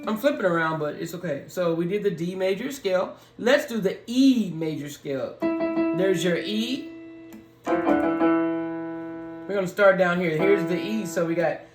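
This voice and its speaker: Slow, raspy-voiced piano teacher, roomy. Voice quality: low, deep, raspy voice. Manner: slow, talks through each step, sings scale notes on "ho". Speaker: piano learner teaching beginners. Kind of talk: casual step-by-step music lesson. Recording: roomy echo with piano playing.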